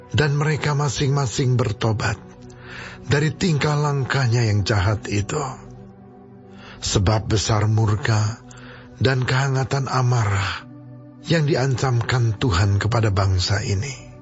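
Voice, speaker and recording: An actor playing the prophet Jeremiah, giving urgent, pleading instructions in an audio Bible drama. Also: male voice, lowish voice, muffled recording, lightly acted. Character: prophet Jeremiah, earnest grown man.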